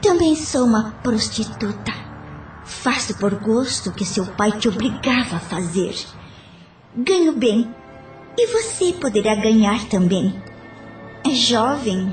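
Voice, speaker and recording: An echoey voice with music behind it. A woman acts a worldly young Brazilian prostitute in an audio novela, high-voiced and coaxing. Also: big pitch swings, slow, a little mellow.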